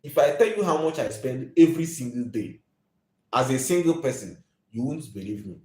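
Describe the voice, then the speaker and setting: A man's voice: mid-range voice, animated, normal speed, darkish tone. Nigerian man in his twenties, roomy sound. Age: 20s. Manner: emphatic, preachy, repeats his points. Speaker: live video host. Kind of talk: ranting live monologue.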